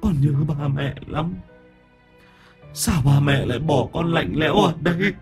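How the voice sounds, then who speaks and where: A man voicing the weeping ghost of a young man, pleading through tears in a raised, breathy voice. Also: fairly high pitch, animated, takes it slowly, soft background music, voice pushed up. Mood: sobbing plea. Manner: sobbing, pleading.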